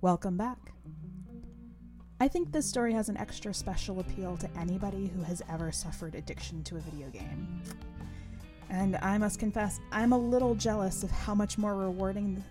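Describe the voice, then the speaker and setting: A woman narrating literary fiction as a podcast host, in a measured, deliberate manner. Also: mid-range voice, music in the background. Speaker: podcast host and story reader.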